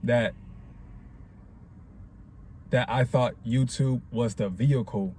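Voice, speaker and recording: A man's voice: medium pitch, slow, a little mellow, a little hiss or hum. A man in his twenties talking casually to camera in a rambling, reflective way, full of slang and fillers. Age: 20s. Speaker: vlogger. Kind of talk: casual talking-head vlog.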